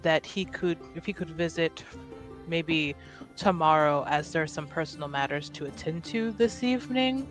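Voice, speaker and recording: A woman's voice: low voice, moderate pace, faint music behind. A woman narrating her character in a tabletop roleplaying game, hesitant and thinking aloud with many fillers. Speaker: player.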